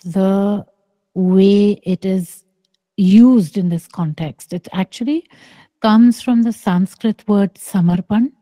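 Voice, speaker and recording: A middle-aged woman giving spiritual teaching on a video call. She speaks softly and deliberately, with long pauses. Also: medium pitch, no echo. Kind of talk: slow contemplative spiritual teaching. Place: small room indoors. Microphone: close microphone on a video call.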